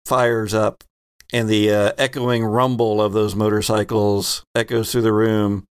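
A man's voice: on the low side, flat delivery, measured pace, smooth. Man narrating a tabletop game. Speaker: game master, host.

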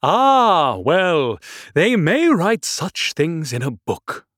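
A man voicing a pompous, touchy egg creature from a storybook, haughty and blustering, with halting stammers. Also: a little high, sing-song, a bit bright, no room sound, studio quality, pitched above the performer's own voice, exaggerated voice. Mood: grand, self-satisfied. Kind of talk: storybook character reading.

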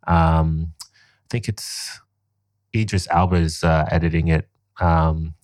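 A man's voice: extremely low pitch, fairly even intonation, slow, a little mellow, no echo, studio quality. A young man from Liberia, raised in Guinea, telling stories in a rambling, casual podcast chat with lots of fillers. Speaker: podcast guest.

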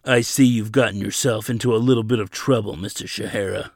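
Man voicing a low, measured, imposing diplomat. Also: fairly even intonation, a little mellow, no room sound, studio quality. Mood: coolly sceptical. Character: huge imposing male diplomat, smooth, dry and sceptical.

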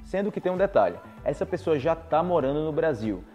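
A man's voice: fairly high pitch, very animated, brisk, dark tone, soft background music. A young man with a Brazilian Portuguese accent, a language teacher giving direct, persuasive advice and repeating words for emphasis. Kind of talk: casual advice video monologue.

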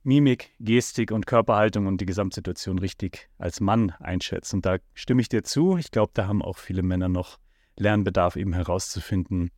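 A man with a slightly low voice co-hosts a relaxed podcast. He speaks in a measured, reflective way, softening his points with little tag words. The sound is dry and close-miked. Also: dry recording. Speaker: podcast co-host. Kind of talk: casual podcast conversation.

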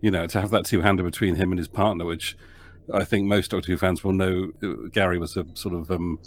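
Very deep-voiced, hesitant man. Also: steady tone, brisk, slight background noise. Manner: hesitant, full of fillers. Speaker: writer guest. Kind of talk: interview answers.

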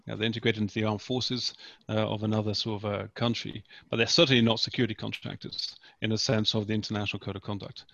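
Male panelist, very quick and flat, dry dull audio. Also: fairly low pitch, vocal fry, dry recording. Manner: brisk, matter-of-fact. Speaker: panel expert. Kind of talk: webinar panel discussion.

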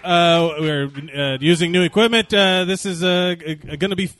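A man's voice: high-pitched, fairly even intonation, normal speed, fairly bright tone, no room sound, studio quality. A man co-hosting a casual podcast, rambling and profane, full of fillers, restarts and trailing thoughts. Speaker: podcast co-host.

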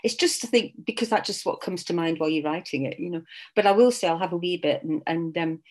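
A woman's voice: on the low side, fast talker, darkish tone. A middle-aged English woman author with traces of a Scottish accent, chatting casually in an interview, laughing often and restarting her sentences. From England.

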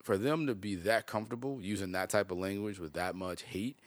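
A man in his thirties hosts a casual interview in a low, deep voice. He asks questions and muses aloud at an easy pace, often tagging on "right?". The recording is close and dry. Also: a little fast, dry recording. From United States. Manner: relaxed, reflective questioning with "right?" tags. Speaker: interview host. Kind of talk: conversational interview.

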